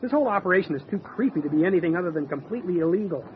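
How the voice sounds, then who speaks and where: An actor playing a suspicious, grumbling, wisecracking reluctant sailor with a sardonic manner in an old-time radio drama. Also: male voice, high voice, animated, very fast. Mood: wary. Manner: sardonic, quipping. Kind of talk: old-time radio comedy-horror acting. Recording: tinny, band-limited, noisy radio recording.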